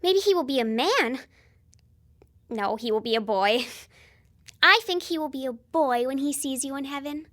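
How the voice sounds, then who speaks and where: A woman's voice: sits high, lively intonation, a little slow, long pauses, a bit bright. An actress playing an earnest, curious young girl in a radio drama, asking things hesitantly. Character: excitable young British niece, earnest and curious little girl.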